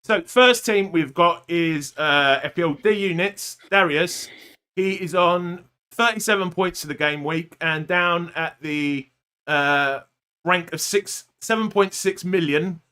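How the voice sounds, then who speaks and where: A man's voice: fairly high pitch, unhurried, pushed and bright, clear, resonant tone. A man hosting a casual football fantasy podcast, chatty and full of little fillers. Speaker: podcast host.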